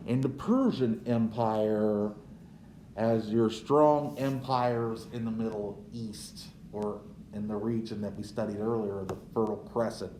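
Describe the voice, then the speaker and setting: A man giving an unhurried history lecture as a teacher, checking in with "right?" as he goes. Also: medium pitch, darkish tone, echoey room, slight background noise. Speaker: social studies teacher.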